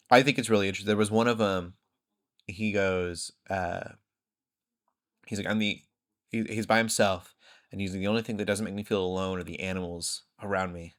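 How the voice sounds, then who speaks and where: A man hosting a podcast, telling rambling, animated stories in casual conversation. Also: low voice. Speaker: podcast host.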